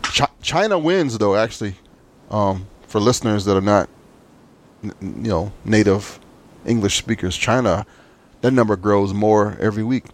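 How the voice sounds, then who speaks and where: A man with a fairly low voice and a Southern US accent hosts a podcast interview. He talks informally and appreciatively, as in a casual chat with a friend. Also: normal speed.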